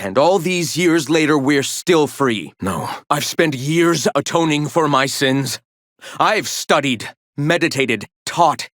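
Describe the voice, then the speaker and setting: A man's voice: a little high, fairly bright tone, no echo, studio quality. A man voicing a zealous leader of a colonial religious movement in a video game, speaking in grandiose, sermonizing, theatrical oratory. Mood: defiant, impassioned. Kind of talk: scripted video game voice acting.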